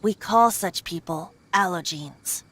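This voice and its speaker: A woman playing an ageless wind god in the guise of a young male bard in a video game, light and boyish, wistful and gently wry. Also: fairly low pitch, takes it slowly, husky, exaggerated voice. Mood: confiding.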